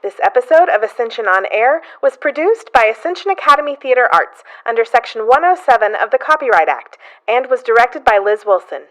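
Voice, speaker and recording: A woman's voice: on the high side, a bit bright, rough recording. A woman reading scripted production credits as an announcer. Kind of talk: scripted narration.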